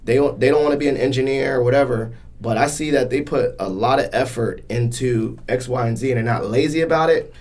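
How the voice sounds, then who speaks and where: A man's voice: medium pitch, a little fast, few pauses, a little mellow. A man hosting a podcast in a casual, conversational interview style, speaking colloquially and encouragingly. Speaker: podcast host.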